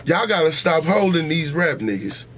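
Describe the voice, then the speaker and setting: A man's voice: on the high side, big pitch swings, moderate pace, a bit bright, slight background noise. An American man hosting a podcast, talking casually and emphatically with heavy slang and swearing, repeating his points. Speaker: podcast host. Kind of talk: casual opinionated podcast talk.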